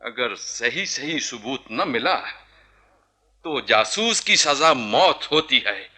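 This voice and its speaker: An actor playing a young, suspicious and commanding Nawab in a Hindi historical radio play, measured and regal in manner. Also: male voice, mid-range voice, lively intonation, sharp tone, exaggerated voice. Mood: stern, threatening.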